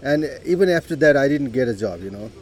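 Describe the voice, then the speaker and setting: A middle-aged man with a Nepali accent, telling his life story in an unhurried way, with frequent "you know" and throat clearing. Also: mid-range voice, animated, a little mellow. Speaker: interviewee. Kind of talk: personal life story told in conversation.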